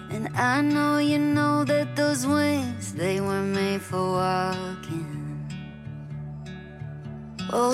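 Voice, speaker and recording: A woman delivering gentle lyrical verse, with guitar behind her. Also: high voice. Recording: loud guitar music behind.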